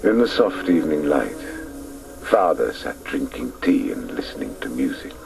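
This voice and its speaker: An actor playing a reflective male narrator recalling his boyhood in a radio drama, lyrical and hushed. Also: a bass voice, sing-song, normal speed, vocal fry, soft background music, exaggerated voice, low-quality recording. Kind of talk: scripted literary radio narration.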